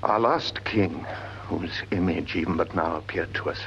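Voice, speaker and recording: A grave scholar in a classical radio play, speaking in a low, declamatory voice. He is learned and stately, shaping verse with measured rhetoric. The recording is dull and old, with some noise. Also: a bit bright. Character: learned, grave gentleman scholar confronting dread omens. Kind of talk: classical Shakespearean radio drama acting. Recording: dull, noisy vintage drama recording.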